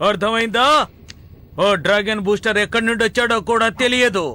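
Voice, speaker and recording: A man's voice: high voice, fairly even intonation, a little fast, sharp tone, a little hiss or hum. A man playing a proud, resentful young dragon racer in a dramatic cartoon dub, boastful and defiant. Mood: confident, scheming.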